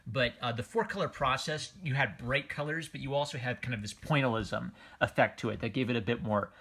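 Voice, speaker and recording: A man's voice: medium pitch, fairly quick, a bit bright, breathy edge. A man giving casual, unscripted commentary as a comic book reviewer, enthusiastic and rambling, often circling back on his phrases.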